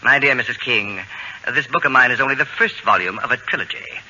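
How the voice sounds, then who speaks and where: A man's voice: mid-range voice, a little fast, bright, forceful tone, slightly rough. An actor playing a pompous, self-important male babysitter and author in an old radio comedy, with precise, formal diction. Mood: grandly composed. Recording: dull, noisy old radio broadcast.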